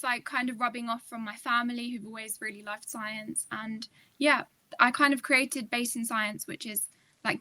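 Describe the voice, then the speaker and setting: Teen girl guest, UK-born, bright and chatty with lots of fillers; tight dry sound. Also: a little high, dry recording. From United Kingdom. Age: teens. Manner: chatty, many fillers like "kind of". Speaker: guest, nonprofit founder. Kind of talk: casual podcast chat.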